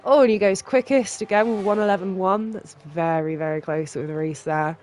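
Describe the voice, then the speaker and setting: Stuffy-nosed excitable race commentator. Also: medium pitch, slight background noise. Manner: excitable, quick reactions to lap times. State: stuffy from a cold. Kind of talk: live sim racing commentary.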